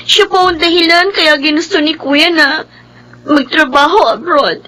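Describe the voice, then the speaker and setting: A woman's voice: sits high, unhurried, pushed and bright, rough recording. A woman playing a tearful, pleading young daughter in a Filipino radio drama, plaintive and whiny. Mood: tearful confiding.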